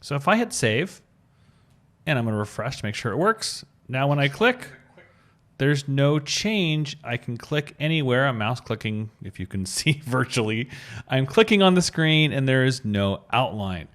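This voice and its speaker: A man's voice: medium pitch, sing-song, normal speed, a bit bright. A man presenting a screencast tutorial, narrating each step in a casual, informal way and thinking aloud as he demonstrates. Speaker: tutorial presenter, web designer.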